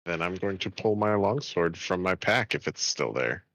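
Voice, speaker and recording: A man speaks casually as a player in a tabletop game. His voice is very deep, with lively rises and falls. The sound is close to echo-free. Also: moderate pace.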